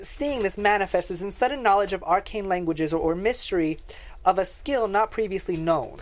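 A woman playing a guarded woman lecturer in a screenplay read, measured and understated. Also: mid-range voice, lightly acted. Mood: explanatory. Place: small podcast studio.

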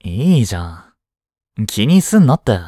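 A young man playing a teasing but tender schoolboy boyfriend in a voice drama, speaking casually and softly with hesitant pauses. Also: medium pitch, big pitch swings, dry recording, clean studio sound. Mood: light teasing. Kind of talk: Japanese boyfriend voice drama, intimate one-sided dialogue.

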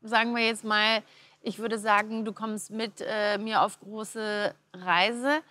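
A woman's voice: mid-range voice, flat delivery, slow, pushed and bright. A woman host and judge interviewing model contestants with friendly, probing questions. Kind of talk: casting interview. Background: music.

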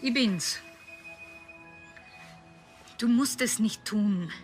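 An actress playing an emotional, embittered wife in a TV crime drama. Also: female voice, a little high, drawn-out words, a little mellow, soft background music. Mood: pleading.

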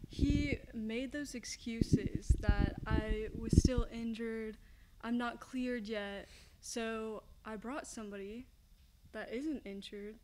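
A woman's voice: a little high, fairly even intonation, slow, background noise. A young woman answering as a guest in a wrestling interview, a little away from the microphone. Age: young adult. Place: indoor wrestling ring.

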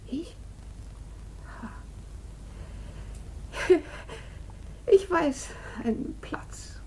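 An actress playing a gentle, childlike woman in a 1950s radio drama, pleading hesitantly and repeating her words. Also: very high voice, expressive, slow pace, heavily acted. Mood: hurt, subdued. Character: gentle, timid, childlike middle-aged sister, pleading and devoted. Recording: noisy 1950s radio recording.

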